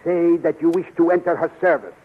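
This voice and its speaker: An actor playing a shrewd, stern princely commander in a 1930s radio serial, decisive and formal, with a husky voice. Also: male voice, on the high side, steady tone, brisk, natural voice. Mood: commanding. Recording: tinny, narrow 1930s radio recording.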